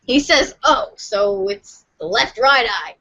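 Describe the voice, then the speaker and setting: High, bright woman reading a script. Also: expressive, slow pace, muffled recording. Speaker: podcast reader. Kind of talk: dramatic read-aloud of a webcomic script.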